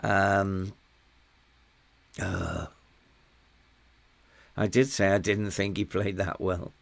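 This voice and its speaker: An older man from England with a hoarse, failing voice, commenting casually on a football management game and muttering to himself as he plays. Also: low voice, level tone, drawn-out words. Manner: muttering, talking to himself while playing. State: losing his voice, hoarse. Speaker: gaming YouTuber.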